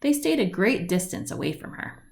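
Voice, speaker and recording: A woman reading a children's picture book aloud, with deliberate phrasing, stretched words and lilting lists. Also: medium pitch, big pitch swings, creaky, a little mellow, a little hiss or hum. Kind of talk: read-aloud storybook narration. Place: indoors at home, at a wooden table by a window.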